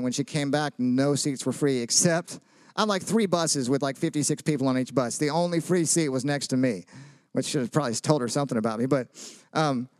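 A man's voice: fairly high pitch, no echo. A man, preaching a casual sermon full of jokes, questions to the audience and personal stories. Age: 30s. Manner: chatty, joking, asks the audience questions.

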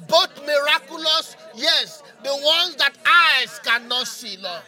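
A man with a very high, sharply bright voice prays as a charismatic preacher. His delivery is impassioned and incantatory, built on repeated phrases and mixed with speaking in tongues. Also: slow pace, a faint crowd. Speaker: preacher leading prayer.

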